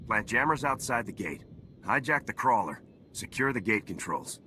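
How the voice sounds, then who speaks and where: An actor playing a confident, wry special-forces major in a video game, speaking in terse, dry military phrases. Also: male voice, mid-range voice, very animated, normal speed, sharp tone, slight background noise. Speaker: soldier, squad leader. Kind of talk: scripted video game dialogue.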